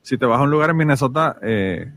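A man's voice: medium pitch, animated, brisk, a bit bright. Profane storytelling man on a podcast. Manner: storytelling, swears freely, many restarts. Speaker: podcast co-host. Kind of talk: casual chat.

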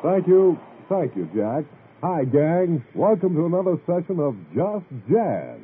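Animated American radio emcee, vintage muffled sound. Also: male voice, on the high side, a little slow. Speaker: radio master of ceremonies. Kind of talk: 1940s radio jazz show hosting. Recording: muffled vintage radio broadcast, faint noise.

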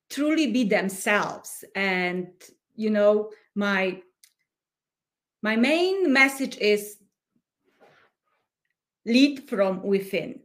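A woman from Poland with a Polish accent, a life coach and podcast guest who speaks in a thoughtful way and often searches for words. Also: mid-range voice. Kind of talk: podcast interview.

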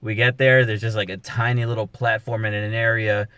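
A man's voice: on the low side, level tone, a little fast, fairly bright tone, smooth, no echo. A man, a comedian and podcast host, telling a rambling story with casual swearing. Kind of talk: casual chat.

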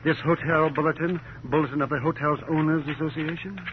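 Guy doing indignant fussy hotel boss, highish voice, old muffled radio drama. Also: steady tone, lightly acted. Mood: exasperated. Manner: pompous, indignant, halting fussily. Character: fussy, exasperated male hotel manager, indignant and pompous. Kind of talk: 1950s radio drama acting. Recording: muffled vintage radio recording, faint hum.

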